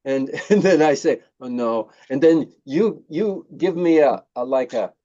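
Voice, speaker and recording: A man's voice: a little high, expressive, a little slow, warm tone, dull audio. A man, a native English speaker, hosting a casual conversation. He talks thoughtfully and hesitantly, with many fillers when he switches to Korean. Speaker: host.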